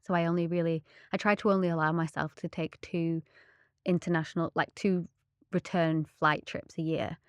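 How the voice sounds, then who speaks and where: A young woman hosts a casual podcast chat, her speech loose and filled with "like". Her voice sits a bit low for a woman. The sound is dry and close. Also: level tone, moderate pace, no room sound. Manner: chatty, filler "like". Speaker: podcast host.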